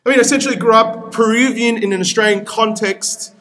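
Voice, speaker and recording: A young Peruvian-born man, Spanish his first language, preaches to his congregation. His voice sits a little high for a man. He speaks earnestly and leans into repeated appeals. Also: fairly even intonation, a little fast, a bit bright. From Peru. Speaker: pastor. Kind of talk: sermon.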